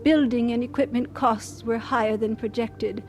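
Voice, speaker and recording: An actress playing a devoted missionary doctor, reflective and sincere, narrating and acting in an audio drama. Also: female voice, a little high, fairly even intonation, moderate pace, soft background music, natural voice. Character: composed, devoted female missionary doctor, reflective and warm-hearted.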